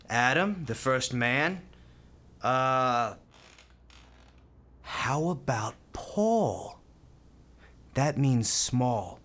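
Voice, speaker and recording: A cheerful volunteer in a radio play. He is folksy and talkative, with a mid-pitched voice that swings widely and playfully. He sounds kindhearted and eager to chat. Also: sharp tone, heavily acted. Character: cheerful, chatty, kindhearted male volunteer baby rocker in a hospital.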